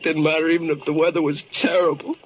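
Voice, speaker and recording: An actor playing a grieving, astonished working-man driver in a 1940s radio drama, halting and emotional. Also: fairly high pitch, flat delivery, a little hiss or hum, close to an everyday voice. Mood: wistful. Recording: dull old radio recording under loud music.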